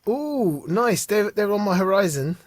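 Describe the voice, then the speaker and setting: A man co-hosting a podcast in casual chat, relaxed and agreeable, mostly giving short replies. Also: high-pitched, measured pace, dry recording, studio quality. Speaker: podcast co-host.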